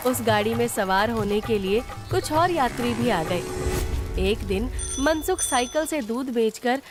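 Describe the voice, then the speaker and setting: A woman narrates a children's tale in a high voice. Her delivery is scripted storytelling, laid over clearly audible music. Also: animated, unhurried.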